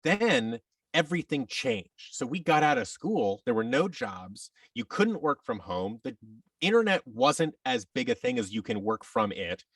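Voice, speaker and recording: Guy in his 30s on a podcast, rambly n opinionated, gravelly voice, bit far from the mic. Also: medium pitch, sing-song, measured pace, no room sound. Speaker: podcast guest, audio drama producer and actor. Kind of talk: casual interview conversation.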